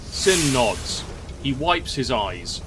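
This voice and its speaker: A man's voice: medium pitch, big pitch swings, extremely slow, noisy background. A man reading scripted narration for an audio drama.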